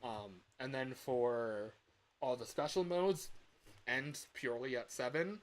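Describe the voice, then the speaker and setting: A man's voice: mid-range voice, slow. A man chatting casually as a livestreamer and hobby game developer, rambling and repeating his phrases as he goes.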